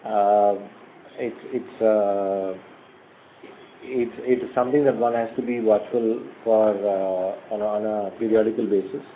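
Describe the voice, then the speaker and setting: A middle-aged man, a company executive answering analysts' questions on an earnings call in a measured, hesitant way with many fillers. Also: on the low side, fairly even intonation. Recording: phone line, faint noise.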